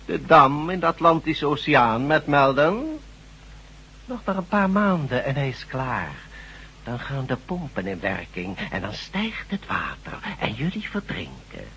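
An actor in a radio drama playing a cold, condescending, menacing regime doctor who speaks deliberately. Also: male voice, medium pitch, sing-song, sharp tone, slight background noise, exaggerated voice, rough recording.

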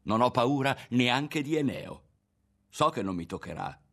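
A man's voice: sits low, sing-song, fairly quick, creaky, fairly bright tone, slightly rough, heavily acted. A man voicing a proud, hot-tempered young boy who protests insistently in an audiobook story. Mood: defiant shouting.

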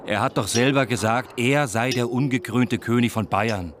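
A naive, easily indignant man , played by an actor, talks as a tour visitor in a radio play. His voice sits at a middle pitch, with clear ambient noise around it. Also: normal speed, close to an everyday voice. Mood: gossipy, foreboding. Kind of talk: German radio play dialogue. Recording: noisy ambient background.

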